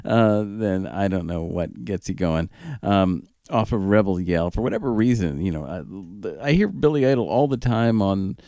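Low-pitched man, lively radio DJ. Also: normal speed, dry recording, studio quality. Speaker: radio show host, DJ. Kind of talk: casual radio chat, announcing tracks.

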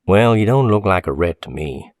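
A man's voice: low-pitched, lively intonation, moderate pace, no room sound, studio quality, voice pushed up. A man voicing a cautious small-town man with blunt, rustic, colloquial speech in a story. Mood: wary, curious.

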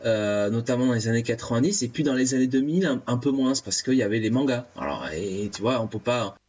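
A man in his thirties from France, chatting casually on a comics podcast, halting and searching for his words. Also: medium pitch, animated, darkish tone. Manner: hesitant, playful, searching for words. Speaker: podcast co-host. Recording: dull lo-fi sound.

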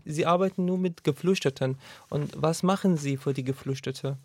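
Man, radio interviewer, slightly high voice, halting questions. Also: a little mellow. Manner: halting, many fillers and restarts.

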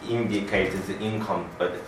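Low-voiced man, distant in echoey room. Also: fairly even intonation, normal speed, a little hiss or hum. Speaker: seminar participant. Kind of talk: discussion in a seminar.

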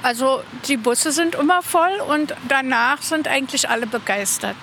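A woman giving unscripted interview answers as an organiser, often pausing to search for words. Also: high voice, bright, forceful tone. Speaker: interviewee, bus tour organiser. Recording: street and vehicle noise behind.

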